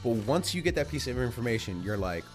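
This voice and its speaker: A man talking quickly as a podcast host, with pitch that swings a lot. His delivery is casual and emphatic, restating points as he agrees with others. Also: big pitch swings, soft background music. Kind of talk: casual banter and discussion.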